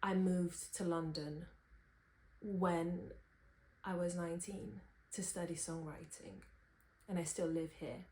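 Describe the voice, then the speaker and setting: Slow-spoken young woman in a roomy space. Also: lowish voice, fairly even intonation, darkish tone. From Romania. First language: Romanian. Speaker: vlogger, singer-songwriter. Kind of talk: unscripted personal reflection. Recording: roomy, echoey sound.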